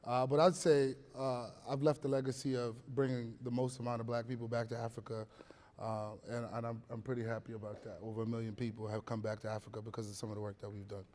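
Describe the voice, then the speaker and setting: Flat-voiced man from the Bronx. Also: medium pitch, fast talker. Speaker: panel guest, founder. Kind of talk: panel self-introduction.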